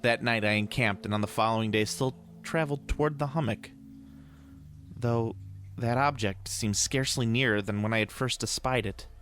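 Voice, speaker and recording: A man reading a horror tale aloud as a dramatic audiobook narrator, in a deliberate, theatrical storytelling manner. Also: medium pitch, fairly bright tone, faint music behind. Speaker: podcast host reading a story. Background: ambient soundscape.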